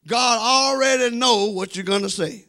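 A middle-aged man preaching an animated, emphatic sermon, repeating phrases and calling for response in a church, on a handheld microphone. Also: extremely high pitch, normal speed. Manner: emphatic, repeating phrases, swinging between shouts and quieter asides. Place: church sanctuary, speaking from the front.

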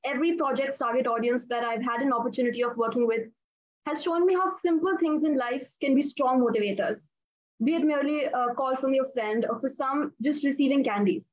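Young female panelist, high pitch, measured and articulate, dry lo-fi call sound. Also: dry recording. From India. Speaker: youth panelist. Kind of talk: prepared panel answers. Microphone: video call. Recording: dry, slightly dull call audio.

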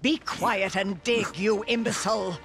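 A woman's voice: mid-range voice, measured pace, close to an everyday voice. A woman voicing an imperious, scornful evil sorceress in a game, haughty, formal and commanding. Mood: imperious, impatient. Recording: music behind the voice.

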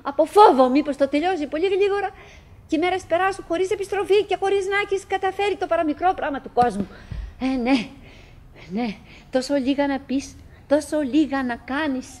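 An actress playing a chatty middle-aged wife in a theatrical radio drama monologue, halting and musing, by turns coaxing and murmuring, wistful, fretful and forcedly cheerful. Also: female voice, high voice, a little mellow, natural voice. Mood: anxious, fretful. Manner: halting, musing, self-interrupting, swinging between coaxing and murmuring.

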